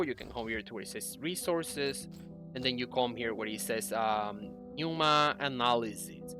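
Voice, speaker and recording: A man's voice: mid-range voice, sharp tone. A young man giving a casual, conversational video game guide, explaining things step by step over background music. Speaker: gaming content creator. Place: indoor room at home.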